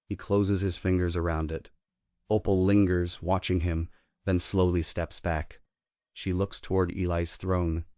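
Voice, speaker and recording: A man's voice: sits low, a little mellow, no room sound, clean studio sound. A man giving scripted narration, reading out the stage directions of a play.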